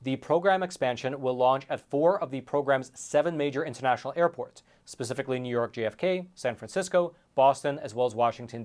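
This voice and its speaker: A man hosting a scripted news commentary show, speaking emphatically with conversational asides to the viewers. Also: medium pitch.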